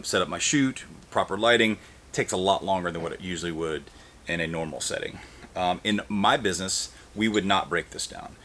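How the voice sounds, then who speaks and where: Low-voiced man, casual teardown host. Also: fairly bright tone. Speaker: host of an e-waste teardown video. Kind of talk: casual hands-on demonstration talk to camera. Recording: clear background noise with handling clicks.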